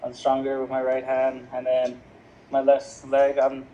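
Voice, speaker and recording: A man's voice: mid-range voice, monotone, a little slow, dark tone, dull audio, a little hiss or hum. A young man talking casually on a podcast, hesitant and full of fillers. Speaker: podcast guest.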